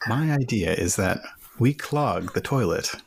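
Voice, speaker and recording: A man's voice: lowish voice, expressive, unhurried, gravelly, a little hiss or hum. A man chatting casually in character as a player in a tabletop roleplaying game.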